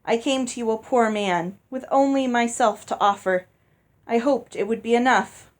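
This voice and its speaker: A woman voicing a smooth-talking, placating father in a storybook, full of sweet endearments. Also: on the high side, normal speed, close to an everyday voice. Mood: grave.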